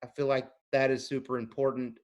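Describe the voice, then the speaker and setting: Mid-pitched man talking on a panel. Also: level tone, brisk. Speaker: audio technician on a training panel. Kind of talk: conversational panel talk with anecdotes.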